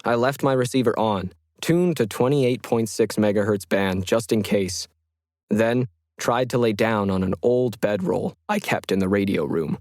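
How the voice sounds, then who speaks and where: A man voicing a solitary, methodical 1950s ham radio hobbyist, reading his journal in a measured, reflective way for an audio story. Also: on the low side, expressive, a little mellow, no echo, studio quality. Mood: weary, wondering. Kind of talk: scripted first-person journal narration.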